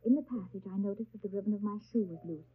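Modest young governess, soft-mannered n earnest, husky voice, muffled old 1930s radio drama. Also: female voice, mid-range voice, racing. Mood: hushed, narrating. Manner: demure, restrained, earnest. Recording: dull 1930s radio recording, faint music behind.

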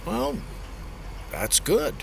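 A man's voice: sits high, huge pitch swings, extremely slow, creaky, a little hiss or hum, exaggerated voice. A man playing a middle-aged father and landowner in an audio drama, chummy and coaxing but pushy.

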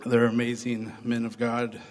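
A man with a hoarse voice, pastoring a church, giving chatty announcements and a short sermon to his congregation. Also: medium pitch, completely flat delivery, unhurried, a little mellow. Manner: upbeat, chatty, addresses congregation directly. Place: church sanctuary. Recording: long reverb of a church hall.

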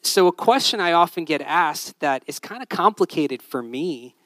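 A man's voice: fairly high pitch, expressive, moderate pace, bright, forceful tone, no echo. A man born in Honduras, a Spanish speaker, preaching a conversational sermon. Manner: conversational, tag questions.

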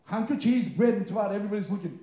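A male pastor, a second-language English speaker, preaching emphatically with stretched key words, quick informal asides and rhetorical questions. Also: high voice, mellow. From born abroad, moved to Australia aged nine. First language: not English; English is a second language. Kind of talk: sermon to a live congregation. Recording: roomy, echoing live sermon sound.

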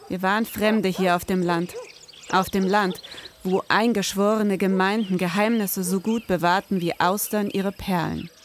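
An actress playing a precise, sober detective in a scripted noir audio drama, speaking in formal, matter-of-fact wording. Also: female voice, mid-range voice, slight background noise, close to an everyday voice. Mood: brooding, noir.

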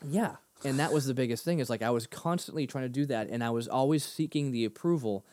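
A man co-hosting a casual faith discussion, talking animatedly and breaking into higher voices when he quotes himself. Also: quick pace, slight background noise. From Boston area. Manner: animated, laughs often, jumps pitch in quoted asides. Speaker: podcast co-host.

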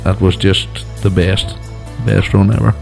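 A man proudly recalling a rally win in an emphatic, slow storytelling manner. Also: low-pitched, little pitch movement, creaky. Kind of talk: reminiscing interview clip. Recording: interview clip with music underneath.

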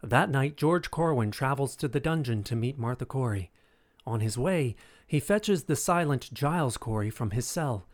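A man's voice: mid-range voice, animated, moderate pace, close to an everyday voice. A solemn male storyteller played by an actor narrates a historical audio drama. His voice sits in a middle register and keeps to scripted narration.

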